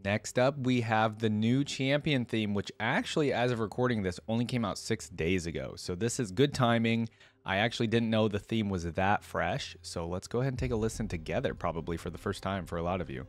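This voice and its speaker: A man's voice: on the low side, a little fast. A man hosting a reaction video, giving casual commentary. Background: game audio and music.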